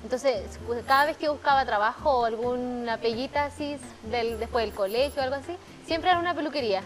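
A woman's voice: a little high, a little fast, faint music behind. A young adult woman speaking casually and chattily as a guest in a television studio interview. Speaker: guest, salon partner. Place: TV studio living-room set.

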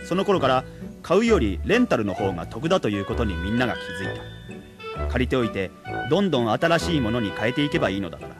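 A male actor playing a jokey, excitable salaryman in a Japanese radio comedy drama, given to clowning and puns. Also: medium pitch, quick pace, over music, lightly acted. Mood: reflective narration. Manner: clowning, breaks into puns and bits of song. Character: jokey, excitable salaryman, cheerful punster and father.

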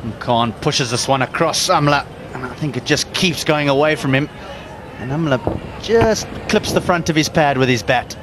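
A man commenting live on a cricket broadcast, explaining the bowling in a brisk, analytical way. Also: a little high. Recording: broadcast commentary over noisy ambience.

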